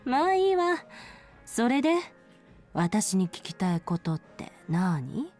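Bar hostess big sister, expressive swings, coy, proud, tender, video game drama, soft music behind. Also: female voice, mid-range voice, slow. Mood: teasing.

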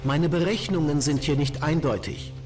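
Clinical, higher-pitched male AI voiced by a man. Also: moderate pace, music in the background, lightly acted. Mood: neutral, analytical. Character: precise, emotionless male artificial intelligence analyst. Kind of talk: video game dialogue acting.

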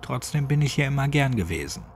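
A man's voice: medium pitch, sing-song, fast, soft background music, pitched above the performer's own voice. A man voicing a cynical, grumbling old village man in clipped, colloquial speech for a horror audiobook. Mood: wry reminiscing. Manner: colloquial, clipped, gruffly chatty. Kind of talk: horror audiobook character dialogue.

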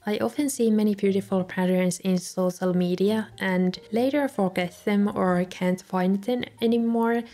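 A young woman, a native Finnish speaker, calmly narrating a voice-over for her video with soft laughs between remarks. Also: medium pitch, normal speed, few pauses. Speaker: journaling video creator. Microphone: voice-over recorded separately.

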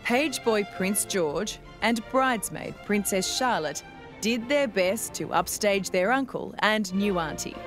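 An Australian woman delivers scripted narration for a television news feature. She speaks as the reporter at a middling pitch, with music clearly running behind her voice. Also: expressive, unhurried, a bit bright.

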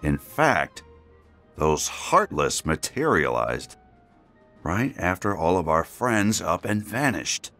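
An actor playing a wary, heroic space ranger action figure in a video game, formal and commanding. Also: male voice, deep voice, sing-song, takes it slowly, fairly bright tone, faint music behind, exaggerated voice. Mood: serious, questioning. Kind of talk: animated video game dialogue.

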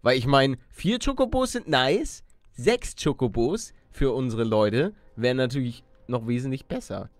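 A man's voice: mid-range voice, expressive, unhurried, no echo, soft background music. A man hosting a Let's Play, thinking aloud casually in slang peppered with English gaming words. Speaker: gaming video host.

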